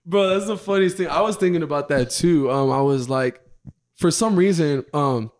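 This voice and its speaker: A man's voice: fairly high pitch, moderate pace. A man in his twenties, co-hosting a podcast, joking and laughing in casual banter with friends. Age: 20s. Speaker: podcast co-host. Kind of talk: casual chat among friends.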